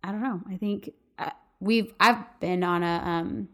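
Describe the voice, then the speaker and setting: A woman's voice: medium pitch, fairly even intonation, a little slow, a bit bright. A woman, chatting casually in a group and laughing often, trailing off with fillers. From Texas.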